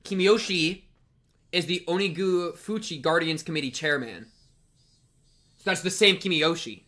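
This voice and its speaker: A man hosting a live game playthrough, excitable and given to repeating his phrases, bantering with chat and reading game text aloud. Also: a little high, normal speed. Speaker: livestream host.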